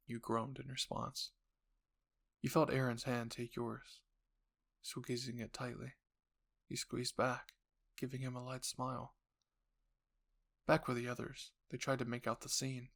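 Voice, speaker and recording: A man's voice: medium pitch, completely flat delivery, a little slow, gravelly, long pauses, fairly bright tone. A man narrating a scripted second-person story as the host of a roleplay channel.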